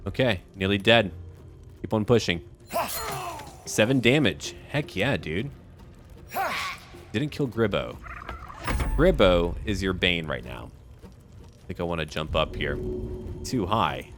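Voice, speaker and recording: A man's voice: low-pitched, expressive, takes it slowly, long pauses, background noise. A man giving casual let's-play commentary, thinking aloud and chatting to the characters on screen as he plays. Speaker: gaming video host playing and narrating.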